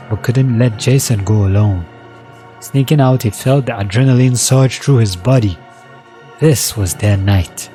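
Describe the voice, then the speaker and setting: A man's voice: mid-range voice, a little mellow. A man narrating a scripted dramatic story as its storyteller.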